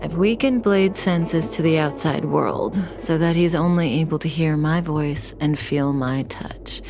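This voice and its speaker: An actress playing a calm, enigmatic, seductive woman in a video game cutscene, speaking deliberately and with assurance. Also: deep voice. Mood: assured, composed.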